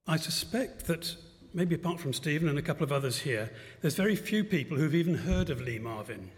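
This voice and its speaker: A man in his seventies giving a personal testimony at a church service, telling his life story in a gentle, reflective way with light self-mocking humour. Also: a little high, lively intonation, fairly quick. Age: 70s. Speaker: guest speaker at a church service. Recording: long church-hall reverb.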